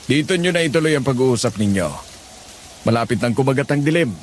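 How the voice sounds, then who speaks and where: A man playing a calm, kindly older father in an audio horror drama, gently persuading. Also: mid-range voice, fairly quick, slight background noise, lightly acted. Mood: gentle, reassuring.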